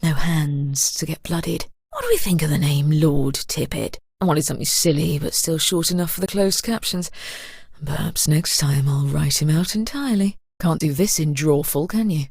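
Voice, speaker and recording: A woman's voice: deep voice, animated, moderate pace, creaky, a little mellow, slightly rough, no echo, clean studio sound, full character voice. A woman voicing a sly, sinister disembodied narrator in a video game, arch and conspiratorial in manner. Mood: sly, teasing. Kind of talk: video game character voice acting.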